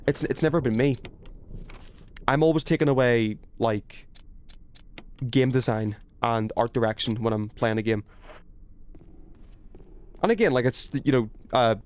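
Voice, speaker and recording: A man's voice: mid-range voice, moderate pace, long pauses, darkish tone. A man chatting casually as a game streamer, rambling in a self-effacing, sweary way. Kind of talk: casual chat while streaming gameplay.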